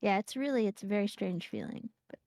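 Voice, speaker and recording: A woman's voice: medium pitch, lively intonation, fast talker, gravelly, a little mellow, dry recording, studio quality. A woman co-hosting a game stream, chatting in a playful, rambling way and often restarting her sentences. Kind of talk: casual gameplay chat.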